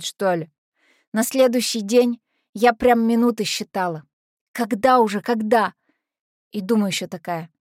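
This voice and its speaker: A woman's voice: medium pitch, no echo, lightly acted. Casting: adult woman as tough but naive lovestruck orphan teen girl, first-person dramatic monologue, colloquial street slang, confiding delivery, dry studio sound. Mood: excited, anxious. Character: brash lovestruck teenage orphanage girl telling her story.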